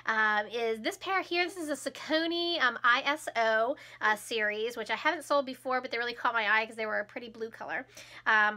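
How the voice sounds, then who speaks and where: A woman's voice: high voice, sharp tone. A woman in her thirties, a little froggy from a cold, chatting casually and cheerfully at home to a close camera, laughing often. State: recovering from a cold, a bit hoarse. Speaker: resale vlogger. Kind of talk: casual chat. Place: small room at home, indoors.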